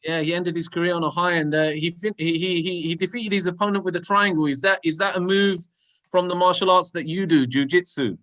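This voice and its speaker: A man hosts a live online programme for young people. His voice sits fairly high and has a bright, forceful edge. He talks in an encouraging, motivational way. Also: steady tone, fairly quick. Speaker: presenter of a children's religious programme. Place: live video call.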